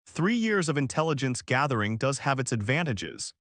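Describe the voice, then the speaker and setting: A man's voice: mid-range voice, very animated, normal speed, a bit bright, no room sound. A resolute woman commander of a resistance force, read by a male narrator, speaks in terse military phrases. The sound is dry and close, from an audio space war story. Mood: wry.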